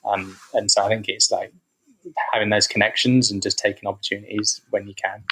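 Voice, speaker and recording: Man, low-pitched voice, podcast guest, easygoing rambling chat, lots of ums. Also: brisk, husky, low-quality recording. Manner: relaxed, rambling, filler-heavy. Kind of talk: casual interview chat.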